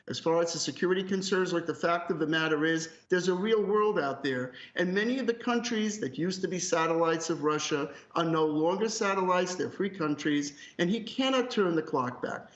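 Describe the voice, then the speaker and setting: A man speaking as an academic guest analyst on live news, conversational but emphatic, opinionated and direct. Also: high voice. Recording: roomy echo, slightly muffled call audio.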